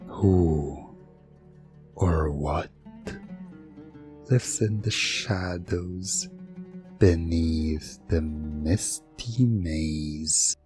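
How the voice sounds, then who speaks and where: A man narrating a scripted hypnosis ASMR story in a hushed, suggestive way with dramatic pauses. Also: low-pitched.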